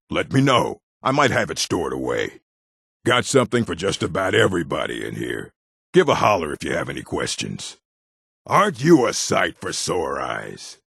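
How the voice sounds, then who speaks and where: Very low, gravelly orc warrior. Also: male voice, a bit bright, no room sound, studio quality, exaggerated voice. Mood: businesslike greeting. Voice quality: gravelly, husky. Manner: blunt, gruff, terse. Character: gruff, proud male orc warrior of a fantasy world. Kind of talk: video game character voice lines.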